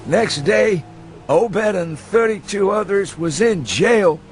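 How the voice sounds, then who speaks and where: High-pitched, cackling old New England drunk. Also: male voice, expressive, slow, faint music behind. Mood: conspiratorial, rambling. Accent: rural New England. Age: elderly. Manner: rustic dialect, goading questions ending in "huh?". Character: hysterical drunken old man, cackling, sly, rustic New England sailor-town local. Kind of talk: dramatised audiobook reading for radio.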